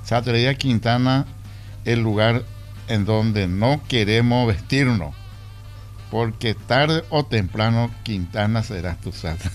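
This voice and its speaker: A mid-pitched male voice chats and reminisces in a loose, chatty way. Music plays faintly beneath him. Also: takes it slowly.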